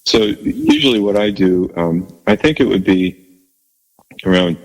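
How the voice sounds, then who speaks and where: A man with a low bass voice, chatting as a guest in an interview, speaking haltingly and modestly with many restarts. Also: lively intonation, long reverb tail, low-quality recording. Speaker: guest musician. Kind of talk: casual interview chat.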